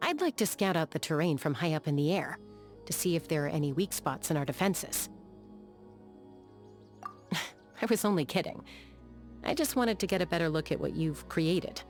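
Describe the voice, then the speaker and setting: A woman playing a calm, battle-hardened young sky gunslinger in a video game, measured, thoughtful and matter-of-fact, with a dry edge. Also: low voice, soft background music. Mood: relaxed, lightly wry. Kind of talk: video game character voice lines.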